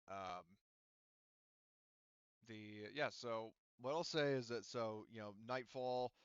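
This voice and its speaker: A man's voice: medium pitch, smooth, dry recording, lo-fi sound. A man running a tabletop roleplaying game as game master, talking casually and thinking aloud with hesitations. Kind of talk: casual tabletop game narration and rules talk.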